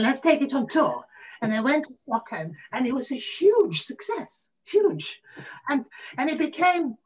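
A middle-aged woman with a fairly high voice shares memories as a guest in a conversation. She talks in a halting but animated way, and the sound is slightly muffled, as on a video call. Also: husky. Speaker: interview guest, actor. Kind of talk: casual reminiscing in an interview. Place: room at home, on a video call.

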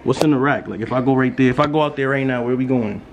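A young man from New York talks straight into a handheld camera in his apartment, all slang and teasing banter. His voice sits at an ordinary middle pitch, and the audio carries a clear layer of hiss and camera noise. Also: fast, highs cut off. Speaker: vlogger host. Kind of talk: casual vlog chat. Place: small apartment bedroom indoors. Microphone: handheld camera held low.

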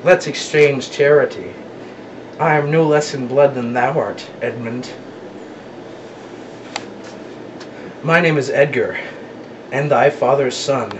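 A man playing an earnest, wronged young knight in amateur Shakespeare, measured and solemn, recorded from a distance with noise. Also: medium pitch, dull audio, lightly acted. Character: earnest, noble wronged young knight challenging his brother. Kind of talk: amateur Shakespearean stage acting.

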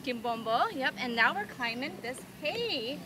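A woman's voice: high-pitched, sing-song, a little slow, background noise. A woman in her thirties chatting cheerfully with her young son while vlogging outdoors on a farm. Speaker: vlogger and mother. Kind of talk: casual family vlog narration. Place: outdoor farm and pumpkin patch. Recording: outdoor vlog with music underneath.

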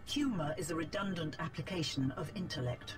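A woman's voice: deep voice, lively intonation, fairly quick. A woman playing a cold, imperious cyber slave villain, formal and menacing, in a video game. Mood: cold, commanding. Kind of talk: video game cutscene acting. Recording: game audio under loud music.

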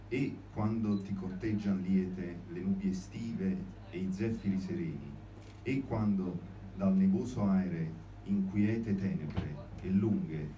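A young man with a low voice reads poems slowly at an open mic in a small room. He is heard from far back in the audience. The room echoes, and steady noise runs under him. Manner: hesitant, many fillers when explaining; slow, measured recitation. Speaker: poetry reader. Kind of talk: poetry reading at an open mic. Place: small cozy room with string lights, indoors. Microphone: handheld mic, filmed from far in the audience. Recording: echoey room recorded from afar, noisy.

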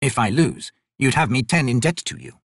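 A meek old male trader, played by a man for an audiobook, speaks in a husky voice. He is hesitant and formally courteous, with nervous swings in pitch. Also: lively intonation, dry recording, clean studio sound. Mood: nervous, polite. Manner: slow, hesitant, formally polite. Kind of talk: audiobook character voice.

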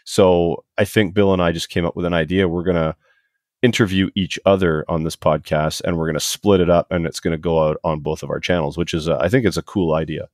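A man's voice: sits low, fairly even intonation, a little fast, darkish tone, no room sound, studio quality. A man in his forties from Canada, casually telling his story as a podcast guest, rambling and self-deprecating. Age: 40s. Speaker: podcast guest, HVAC technician. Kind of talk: casual interview chat.

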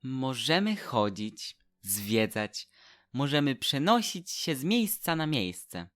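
An actor playing an earnest, halting young boy statue who makes peace, in a radio play. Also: mid-range voice, sing-song, a bit bright, no echo, clean studio sound.

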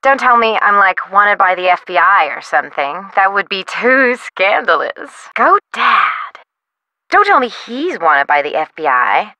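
A woman's voice: medium pitch, very animated, unhurried, pushed and bright, dry recording, muffled recording, heavily acted. An American woman voicing a young artist in a video game, flippant and theatrical, with breezy slang. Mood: teasing, excited. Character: flippant, dramatic young bohemian female artist. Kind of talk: video game character dialogue.

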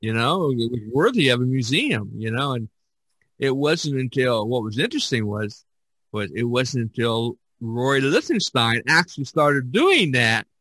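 A man's voice: medium pitch, big pitch swings, a little slow, fairly bright tone, dry recording. An older man in a casual podcast discussion, rambling and opinionated as he talks. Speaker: podcast host.

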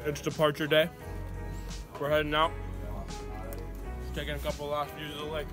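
Young guy, high-pitched, playful teasing banter, phone audio with music. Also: completely flat delivery, moderate pace. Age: young adult. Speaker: friend on the trip. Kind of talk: casual banter.

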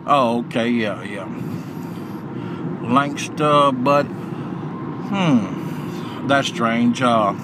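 A man's voice: mid-range voice, very slow, pauses often. A man working customer service on the phone, speaking politely and hesitantly over a call replayed in a noisy car.

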